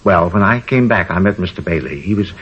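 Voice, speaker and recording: An actor playing a fussy, eager, nosy homeowner in a 1950s radio drama, rambling and repeating himself. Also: male voice, low voice, animated, fairly quick, fairly bright tone, slightly rough, full character voice. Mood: earnest, chatty. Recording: dull, noisy old radio recording.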